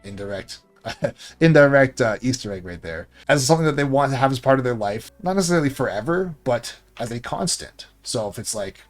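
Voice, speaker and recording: A man's voice: medium pitch, lively intonation, brisk, faint music behind. Rambling conversational man talking to viewers. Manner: conversational, rambling with frequent fillers. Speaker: content creator and coach. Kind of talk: casual monologue to viewers.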